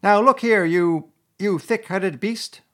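A man voicing an excitable, impatient rat in a storybook, kindly but bossy, with an urgent, exclamatory manner. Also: on the high side, normal speed, pitched above the performer's own voice. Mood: angry, urgent. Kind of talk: storybook character voice.

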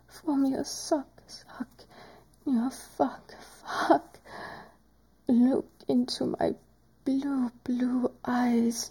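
Woman doing a sultry teasing doctor, audio roleplay, mellow soft voice, super slow whispery talk. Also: high-pitched, slightly rough, exaggerated voice.

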